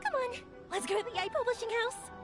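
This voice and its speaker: High, spirited young woman. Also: fast, music in the background. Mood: upbeat. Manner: energetic, encouraging. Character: spirited kind young woman, upbeat big-sister type. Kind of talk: video game character dialogue.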